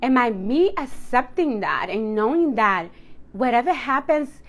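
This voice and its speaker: A woman's voice: on the high side, animated, a little slow. A woman of thirty-nine giving a personal motivational talk to viewers as a self-love coach, speaking in a candid, reflective and emphatic way. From Dominican household.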